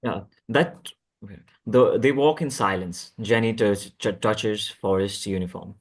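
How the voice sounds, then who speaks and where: Man, low voice, script narration, halting delivery, stumbles and repeats words. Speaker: reader of stage directions. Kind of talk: scripted narration at a table read.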